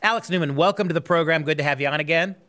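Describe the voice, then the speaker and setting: A man's voice: a little high, racing, fairly bright tone. A man hosting a talk show, sarcastic and emphatic. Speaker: host.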